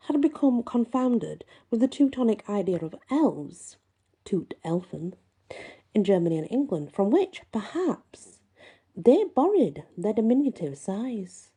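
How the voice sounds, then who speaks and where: A woman host reading folklore aloud and musing on it, with deliberate, emphatic phrasing. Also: medium pitch, dark tone, breathy edge. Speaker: host and reader. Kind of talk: reading a folklore book aloud with casual asides.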